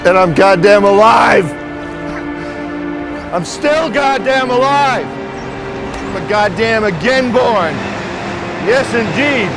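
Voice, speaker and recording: A man in his sixties, a street poet, giving a theatrical poetic monologue that swings from hushed musing to shouting. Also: high voice. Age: 60s. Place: outdoors on city streets.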